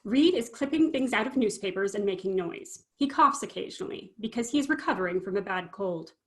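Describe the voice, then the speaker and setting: Woman reading stage directions for a play, medium pitch, plain scripted narration. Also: animated, brisk.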